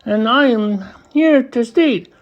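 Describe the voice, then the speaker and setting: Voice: mid-range voice, takes it slowly, muffled recording, pitched above the performer's own voice, natural voice. A storybook reader voicing a big, bossy female kangaroo with a haughty, snappish, scolding manner.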